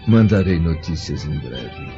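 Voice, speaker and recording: A man playing a dignified, loyal aging Roman senator in a radio drama, formal and measured. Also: sits low, animated, vocal fry, dark tone, full character voice. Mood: reassuring, tender. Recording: dull lo-fi radio drama with music.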